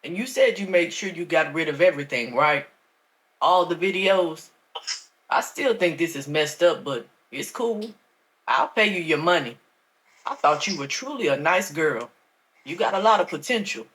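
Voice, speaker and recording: A woman voicing a smug, scheming male pastor who talks down to people in a low, slow voice. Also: animated, a bit bright. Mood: coaxing.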